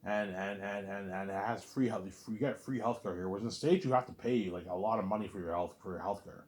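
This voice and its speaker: A man's voice: lowish voice, fast, darkish tone. A man talking to camera in a casual, rambling monologue, blunt and conversational, who keeps repeating and restarting his words. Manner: repetitive, restarts words often; blunt, conversational, asks "you know what I'm saying". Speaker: vlogger.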